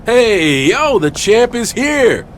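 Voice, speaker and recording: A man's voice: very high voice, sing-song, slow, exaggerated voice. A man playing a swaggering, slangy, teasing star wrestler in a video game cutscene, with faint noise behind. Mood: breezy, teasing.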